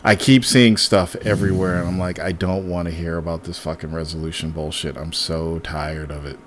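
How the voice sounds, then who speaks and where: A man's voice: deep voice, steady tone, normal speed, a little hiss or hum. A man hosting a casual podcast chat, blunt and exasperated, swearing as he talks. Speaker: podcast host.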